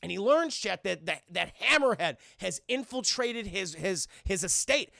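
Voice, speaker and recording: Male review streamer, high bright voice, excitable and sweary, acting out scenes for chat. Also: moderate pace, vocal fry, dry recording, clean studio sound. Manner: profane, acts out characters' dialogue excitedly. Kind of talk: animated scene-by-scene recap for live chat.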